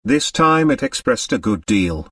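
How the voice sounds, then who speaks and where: A man narrating a mystery novel as an audiobook, in an expressive, dramatic storytelling manner. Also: medium pitch, a little slow, no room sound, recorded from a distance.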